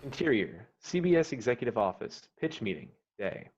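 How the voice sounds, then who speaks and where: A man's voice: medium pitch, sing-song, normal speed, a little mellow, breathy edge. A man reading screenplay stage directions as dramatic scripted narration on a lo-fi online recording. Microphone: online call microphone.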